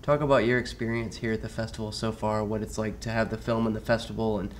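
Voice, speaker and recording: A man's voice: lowish voice, level tone, fast, slight background noise. A man acting as interviewer, chatting casually and asking questions at a festival.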